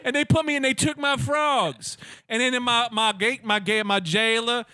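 A man playing a loud, profane frog collector from the Bronx with a New York accent, just out of a foreign prison, in an improvised comedy sketch. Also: fairly even intonation, normal speed, smooth, no room sound, studio quality. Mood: chatty. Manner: loud, profane, rambling, high-pitched.

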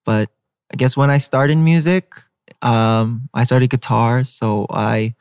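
A young man who is a guest in a casual interview, speaking in a soft-spoken, reserved way with hesitations and fillers. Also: mid-range voice, steady tone, takes it slowly, clear, resonant tone, dry recording, studio quality. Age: young adult. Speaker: K-pop group member, guest.

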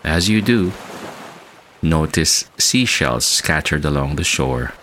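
Very deep-voiced man guiding meditation. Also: lively intonation, fairly bright tone, a little hiss or hum. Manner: measured, soothing delivery with long pauses. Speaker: meditation podcast host. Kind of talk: slow guided meditation narration. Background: music bed.

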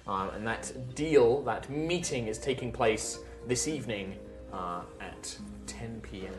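A man's voice: medium pitch, a little slow, reverberant, music in the background. A man running a tabletop game, recapping the story in a chatty, rambling way with lots of fillers. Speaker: game master.

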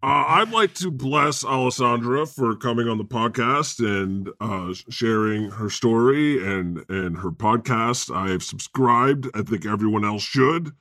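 A man's voice: mid-range voice, animated, unhurried, few pauses, bright, forceful tone, dry recording. A male podcast co-host, joking in a dry, mock-solemn manner. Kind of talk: casual podcast chat.

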